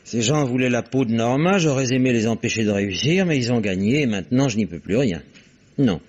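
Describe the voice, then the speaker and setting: A man's voice: medium pitch, lively intonation, fairly quick, darkish tone, natural voice. An actor playing a sardonic, arrogant father. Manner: sardonic, abrupt, self-assured. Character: cynical, blunt wealthy father, arrogant. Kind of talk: 1960s French radio drama acting. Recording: dull old radio broadcast recording.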